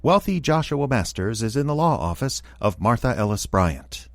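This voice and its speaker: A man's voice: mid-range voice, very animated, normal speed, vocal fry, dry recording. A man announcing a radio drama in a scripted, old-time style, formal and theatrical.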